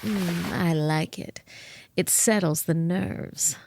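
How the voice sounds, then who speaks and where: An actress playing a nervous, conniving dame in a noir comedy radio drama. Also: female voice, on the low side, slow, a little mellow, slight background noise. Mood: nervous, softening. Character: anxious, scheming noir femme fatale.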